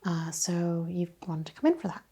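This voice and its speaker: Hushed, husky woman playing a female chiropractor. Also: on the low side, expressive. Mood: calm, attentive. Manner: hushed, unhurried, gently questioning. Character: attentive, reassuring female chiropractor taking a history. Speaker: ASMR performer playing a clinician. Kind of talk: ASMR medical roleplay, soft-spoken.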